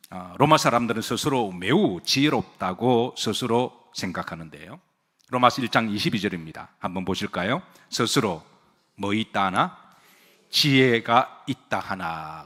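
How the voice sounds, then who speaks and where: A man's voice: fairly low pitch, sharp tone, breathy edge. A male pastor preaching a sermon to his congregation, asking them questions, having them repeat phrases after him, and joking with them lightly. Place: church sanctuary. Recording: long hall reverb.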